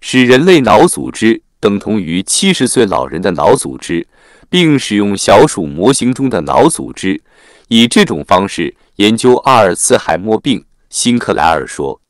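A man reads scripted material as a narrator, in a formal and measured way. His voice sits on the low side and moves through a lively range of intonation. The sound is dry, with hardly any room on it. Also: dry recording. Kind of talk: scripted narration, reading an article aloud.

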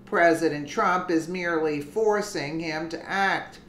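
A commentator reading a news article aloud with deliberate delivery and casual asides. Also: mid-range voice, fairly bright tone, reverberant, a little hiss or hum.

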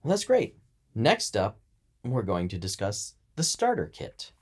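A man's voice: fairly low pitch, huge pitch swings, measured pace, a little mellow, breathy edge. A man co-hosting a podcast, explaining things in a conversational, instructional manner. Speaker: podcast co-host.

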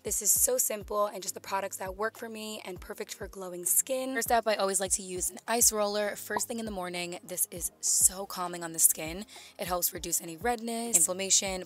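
A young woman narrates her beauty vlog in an eager, gushing voiceover. Her voice is slightly raspy, as though she is losing it a little. Her pitch sits mid-range, with soft background music underneath. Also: steady tone, normal speed. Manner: gushing, enthusiastic product talk. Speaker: beauty vlogger. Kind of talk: voiceover for a skincare video. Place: home room, voice-over recorded separately.

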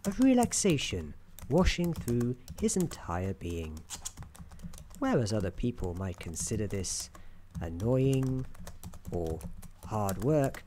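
Soft-spoken ASMR narrator, dark mellow tone, gentle and deliberate, expressive pitch, keyboard clicks and faint noise behind. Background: computer keyboard typing.

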